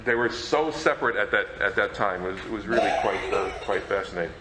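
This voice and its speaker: A man's voice: fairly low pitch, fast talker. A man co-presenting an informal talk, explaining things in a conversational way. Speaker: co-presenter, radio program collector. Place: indoor presentation room. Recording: echoey room, faint background noise.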